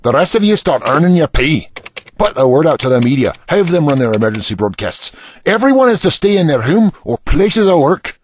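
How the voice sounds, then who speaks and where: A man playing a blunt, commanding prime minister in an audio drama, with a husky voice. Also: a little high, sing-song, fairly quick. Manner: blunt, barking orders, swinging from alarm to brooding.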